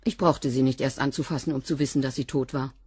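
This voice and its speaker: A woman's voice: very deep voice, fairly even intonation, fast, a little mellow, husky, no echo, studio quality. A woman playing a guarded housekeeper with a past in prison, giving curt answers in a radio play.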